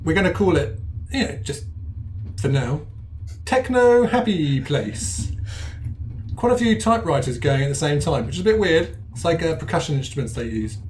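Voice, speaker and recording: A man's voice: on the high side, lively intonation, a little mellow, slight background noise. A man playing a tabletop game, joking and playful. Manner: playful, joking, quick to laugh. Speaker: player.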